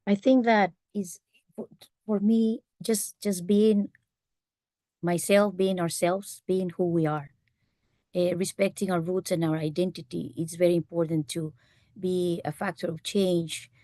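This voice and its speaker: A woman whose first language is Spanish speaks with a Costa Rican accent, earnest and sincere. Her voice sits a little low. The sound is dry and close, with no room echo. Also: little pitch movement, unhurried, mellow. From Costa Rica. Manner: earnest, many "eh" fillers. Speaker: panelist, economics faculty. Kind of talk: panel discussion. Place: university event room.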